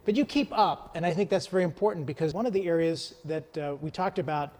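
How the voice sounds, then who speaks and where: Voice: medium pitch, quick pace, darkish tone, long reverb tail. An interview host leading a promotional chat, repeating words and reading out contact details.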